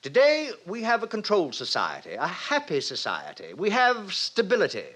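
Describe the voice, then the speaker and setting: A self-satisfied male director instructing his students in old-time radio drama. His voice sits high and rings out brightly, swinging widely as he declaims each lesson with pompous relish. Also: wildly swooping pitch, normal speed, full character voice. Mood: grandly self-satisfied. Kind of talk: 1950s radio drama acting. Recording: vintage 1950s radio recording.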